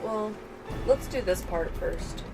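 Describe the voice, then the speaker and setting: A woman's voice: medium pitch, takes it slowly, vocal fry, mellow, faint music behind. A woman chatting casually while co-playing a game on a livestream. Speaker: game streamer's co-player. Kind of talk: casual chat while gaming.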